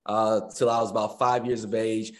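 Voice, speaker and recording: A man's voice: fairly low pitch, level tone, moderate pace. An American man of about fifty chatting casually as an interview guest, talkative and repeating phrases for emphasis. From United States. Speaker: guest, personal trainer and musician.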